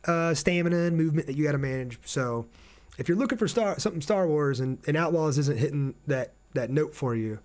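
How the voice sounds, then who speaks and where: A man's voice: fairly high pitch, expressive, fairly quick, darkish tone. A man hosting a game livestream, talking casually and reading out viewer comments as he answers them. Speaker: game streamer, host. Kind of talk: casual livestream chat over gameplay.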